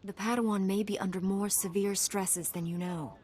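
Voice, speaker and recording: An actress playing a composed, dutiful young hero knight in a video game, earnest in delivery. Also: female voice, medium pitch, steady tone, moderate pace, darkish tone, natural voice. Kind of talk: video game character dialogue.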